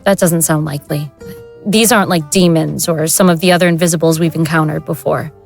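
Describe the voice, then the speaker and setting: A woman's voice: deep voice, steady tone, brisk, gravelly, faint music behind. A woman playing a matter-of-fact occult investigator in an audio drama.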